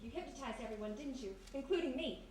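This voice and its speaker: Actress as a high-voiced bold young sleuth, very echoey. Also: female voice, animated, quick pace. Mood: eager, flattering. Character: bold, flattering, quick-witted young woman sleuth. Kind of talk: period radio mystery acting. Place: live performance in a historic house. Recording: very echoey live stage, distant, noisy.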